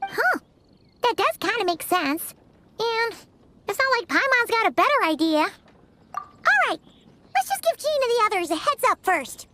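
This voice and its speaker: A woman voicing a tiny, excitable female fairy companion in a game, with a high, squeaky voice. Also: noisy background. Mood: agreeable. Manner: excitable, quick to wonder aloud. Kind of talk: game voice acting.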